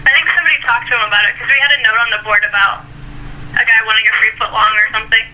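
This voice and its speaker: A woman's voice: on the high side, fairly even intonation, very fast, bright, forceful tone. A woman working at a sandwich shop, talking casually on a telephone call. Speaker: sandwich shop employee answering the phone. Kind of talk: casual phone conversation. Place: other end of a phone call. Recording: noisy telephone line.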